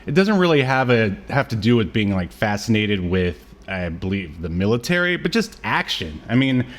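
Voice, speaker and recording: A man's voice: fairly low pitch, very animated, moderate pace. A man co-hosting a podcast in casual banter, with a jokey, teasing manner. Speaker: podcast co-host.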